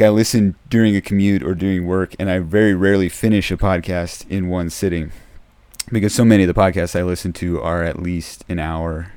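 A man hosting a podcast in a casual, soft-spoken way, with a low, dark voice that tends toward mumbling. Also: no room sound. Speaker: podcast host. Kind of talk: casual solo podcast talk. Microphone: close podcast microphone.

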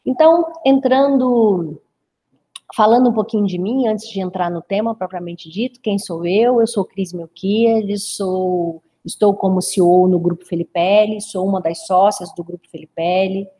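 A Brazilian woman in her forties with a drawling inland accent, presenting an online training webinar in a measured, explanatory way. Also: medium pitch, dark tone, husky. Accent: drawling inland Brazilian Portuguese. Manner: explanatory, measured, frequent "né?" tags.

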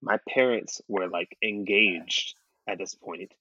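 A man's voice: deep voice, slow, sharp tone, husky, no room sound, dull audio. A man in his late twenties chatting casually on a podcast, rambling with restarts and fillers and swearing freely. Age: late 20s. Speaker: podcast co-host. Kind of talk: casual chat.

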